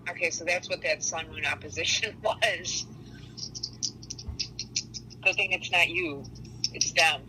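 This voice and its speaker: A woman's voice: on the low side, steady tone, measured pace, pauses often, pushed and bright, a little hiss or hum, rough recording. A woman giving a casual astrology reading in a blunt, matter-of-fact way.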